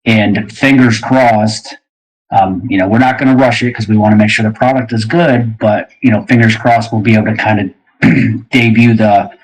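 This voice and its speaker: A man's voice: lowish voice, fairly even intonation, normal speed, poor audio. A man casually explaining plans as a show host, in an easygoing, hesitant way. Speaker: game creator and show host.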